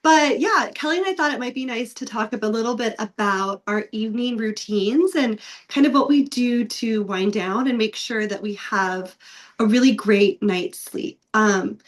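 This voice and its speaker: Raspy-voiced woman recovering from a cold. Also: a little high, normal speed. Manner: chatty, lots of "like" fillers. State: raspy from recent illness. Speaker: podcast co-host. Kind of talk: casual chat.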